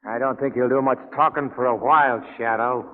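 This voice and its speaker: An actor playing a surly, threatening sailor in a 1940s radio drama, with gruff, slangy talk. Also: male voice, mid-range voice, steady tone, a little fast, natural voice. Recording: muffled noisy vintage radio, reverberant.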